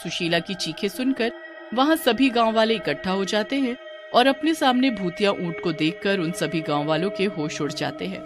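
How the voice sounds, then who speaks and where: A woman's voice: medium pitch, animated, moderate pace, over music. A woman giving scripted storytelling narration as the narrator of a tale.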